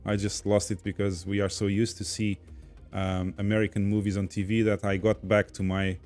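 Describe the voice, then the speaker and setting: A man's voice: fairly low pitch, little pitch movement, normal speed, a little mellow, soft background music. A man from Portugal with a Portuguese accent, casually hosting a livestream and reading viewer comments aloud. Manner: chatty, reads viewer comments aloud, laughs easily. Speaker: podcast host reading chat.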